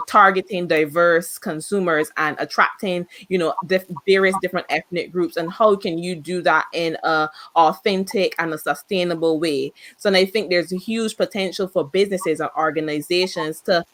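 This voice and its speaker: Lower-pitched woman from Barbados, panelist. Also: normal speed, fairly bright tone. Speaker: conference panelist, marketer. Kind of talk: conversational personal panel talk.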